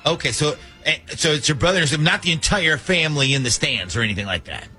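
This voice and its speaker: American guy radio host, kinda high voice, jokey and animated, swoops around a lot. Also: fast. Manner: joking, animated. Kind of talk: lively radio talk show banter. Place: radio studio. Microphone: close studio microphone. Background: music bed.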